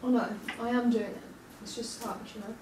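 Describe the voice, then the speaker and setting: A woman acts out a sad, frustrated young woman in a drama script read. Her voice sits slightly high. It comes from off the mic in an echoey room with clear background noise. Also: steady tone, a little fast, close to an everyday voice. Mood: hesitant, anxious. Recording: distant, noisy, echoey room recording.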